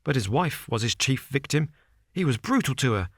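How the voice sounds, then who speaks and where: A man's voice: medium pitch, very animated, moderate pace, slightly rough, no room sound, clean studio sound, voice pushed up. A man doing a panicky, breathless estate manager. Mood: agitated. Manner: gasping short agitated sentences. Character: frightened, breathless male estate manager, middle-aged.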